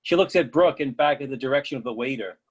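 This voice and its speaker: A man's voice: medium pitch, quick pace, breathy edge, muffled recording. A man reading stage directions as scripted narration for a play.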